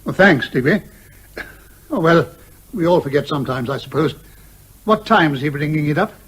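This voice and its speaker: An older English major, a proper country father from radio comedy. His voice sits high for a man. He talks in clipped, upper-class phrases with gruff courtesy. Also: lively intonation, moderate pace, fairly bright tone, rough recording. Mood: mildly irritated. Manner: clipped, old-fashioned upper-class politeness. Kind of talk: radio comedy drama acting.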